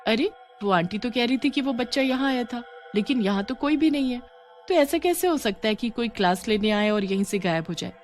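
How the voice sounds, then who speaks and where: Woman doing a high-voiced, questioning schoolgirl. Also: expressive, brisk, faint music behind, lightly acted. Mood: puzzled. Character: young schoolgirl, puzzled and uneasy.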